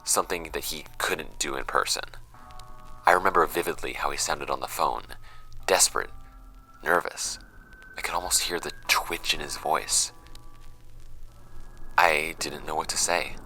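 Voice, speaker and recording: A man's voice: very deep voice, very animated, gravelly, bright, forceful tone, faint music behind, exaggerated voice, rough recording. A man acting a troubled young man in an audio drama, giving a hesitant, introspective confessional monologue. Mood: wistful, subdued. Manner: hesitant, introspective, halting phrasing. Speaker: audio drama actor in the lead role.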